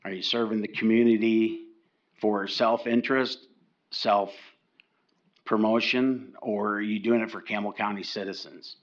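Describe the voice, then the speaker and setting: An older man giving a public comment at a county meeting, halting and often repeating words as he restarts his sentences. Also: fairly low pitch, steady tone, muffled recording. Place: county commission meeting room.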